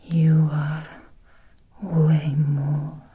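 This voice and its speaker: Female Victorian vampire woman acting, ASMR roleplay: hushed, very breathy, glacially slow, obsessed and menacingly tender. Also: low-pitched, little pitch movement, highs cut off, exaggerated voice. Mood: obsessed, hungry. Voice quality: breathy soft whisper. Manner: slow, deliberate, intimate, teasing with drawn-out pauses.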